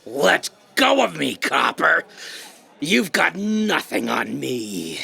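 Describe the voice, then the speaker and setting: A man playing a self-important, aggrieved villain in a sci-fi audio comedy, pompous, melodramatic and sneering in a rough, gravelly voice. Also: on the high side, wildly swooping pitch, slow pace, noisy background, full character voice. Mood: defiant, sneering. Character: invisible busboy, self-important aggrieved would-be villain writer.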